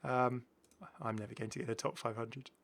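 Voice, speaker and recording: A man with a bright, forward voice presents a casual gaming showcase. He is chatty and enthusiastic, reacting out loud and exclaiming as he goes, in the easy style of an online channel host. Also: medium pitch, fairly even intonation, moderate pace, creaky. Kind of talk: casual gameplay showcase commentary.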